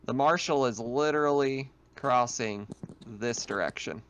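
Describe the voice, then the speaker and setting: A man's voice: medium pitch, unhurried, a bit bright, slight background noise, poor audio. A man narrating a tabletop roleplaying game as game master, explaining scenes in a conversational way.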